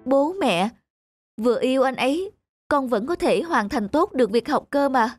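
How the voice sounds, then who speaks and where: An earnest young woman, a lovestruck daughter in a cartoon played by a voice actress. Her fairly high voice is heard over clear music. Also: animated, normal speed. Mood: pleading. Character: earnest lovestruck female student, defiant daughter. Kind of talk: cartoon dub acting.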